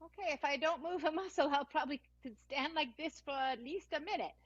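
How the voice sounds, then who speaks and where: A woman voicing an injured female stage performer who speaks weakly and haltingly in an improvised radio play. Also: high-pitched, natural voice. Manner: weak, halting speech. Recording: distant, muffled lo-fi sound.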